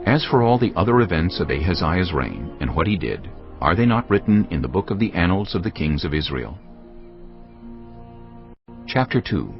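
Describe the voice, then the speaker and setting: Very deep, gravelly male narrator. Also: very animated, moderate pace, long pauses. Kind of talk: scripted dramatic scripture narration. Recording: dull lo-fi sound, music underneath. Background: faint music.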